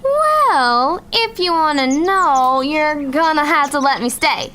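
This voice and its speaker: Young woman as pesky young girl, audio drama, extremely high, bright piercing voice. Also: lively intonation, takes it slowly, full character voice. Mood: insistent. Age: young adult. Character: pesky, eager little sister, young girl. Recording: clear outdoor ambience noise behind voice.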